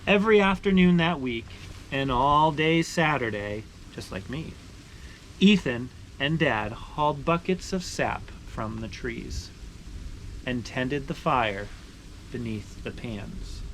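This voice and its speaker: A man's voice: medium pitch, takes it slowly, low-quality recording. Man reading a children's story, background noise. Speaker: storyteller reading a picture book aloud. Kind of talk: children's read-aloud narration. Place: beside a boiling maple sap evaporator. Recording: steady ambient background noise.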